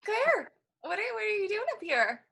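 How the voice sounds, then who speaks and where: An actress playing a tense, ambitious young writer, an impatient older sister, in a stage play. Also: female voice, very high voice, very animated, normal speed, gravelly, sharp tone, full character voice. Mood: flustered. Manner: impatient, cutting, swears. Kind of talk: naturalistic one-act stage play.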